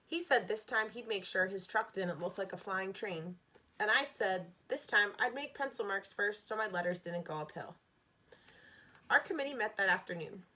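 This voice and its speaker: A woman reading a children's novel aloud in a steady, expressive storytelling manner. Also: medium pitch, moderate pace. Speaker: reader.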